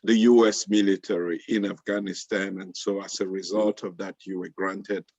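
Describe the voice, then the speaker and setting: Low-pitched man hosting, halting delivery, muffled audio. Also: little pitch movement, darkish tone, dry recording. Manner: halting, repeats words. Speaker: panel host. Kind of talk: live webinar hosting and introductions. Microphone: video call. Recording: muffled online call audio.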